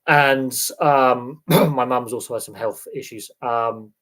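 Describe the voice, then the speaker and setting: A man talks casually to his livestream audience, rambling and halting as he restarts his sentences. His voice is a little congested from a sniffle. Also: mid-range voice, fairly bright tone, poor audio. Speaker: sports channel host.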